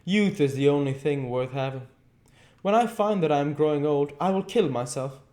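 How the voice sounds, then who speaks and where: A man reads as a vain young man gripped by dread of aging. The voice sits high and strained, pleading and swinging wide in pitch. The tone stays dark and mellow underneath. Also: expressive, normal speed, close to an everyday voice. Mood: anguished.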